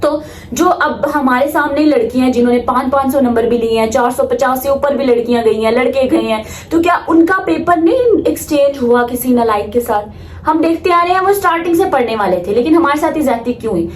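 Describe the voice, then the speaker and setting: A woman's voice: high voice, fairly quick, roomy sound, a little hiss or hum. A woman in her late teens with a Pakistani accent talks casually to her viewers in an emphatic, opinionated way, mixing in English phrases. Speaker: student vlogger. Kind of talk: casual vlog talk to viewers.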